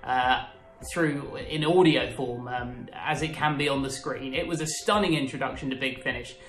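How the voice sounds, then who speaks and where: Man, middling pitch, emphatic, enthusiastic monologue, echoey home room. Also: normal speed, fairly bright tone, faint music behind. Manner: effusive, emphatic with stressed adjectives.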